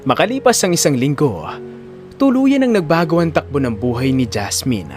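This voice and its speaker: A man's voice: a little high, big pitch swings, normal speed, soft background music. A man delivering dramatic scripted story narration as the narrator of an audio drama.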